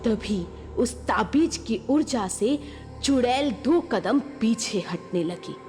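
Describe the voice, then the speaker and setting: A woman tells a scripted horror tale as a dramatic narrator. Her voice sits fairly high and moves slowly, with music playing clearly behind it. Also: animated, darkish tone. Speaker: story narrator. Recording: long reverb, music behind.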